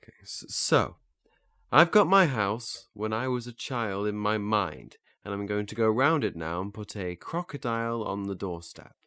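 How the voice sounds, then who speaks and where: A man's voice: lowish voice, animated, normal speed, bright, forceful tone, no echo, studio quality. A man playing an earnest, flustered learner in a naturalistic audio drama, hesitant and stammering as he restarts his phrases. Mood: patient, instructing. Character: earnest, flustered male learner eager to master memory techniques.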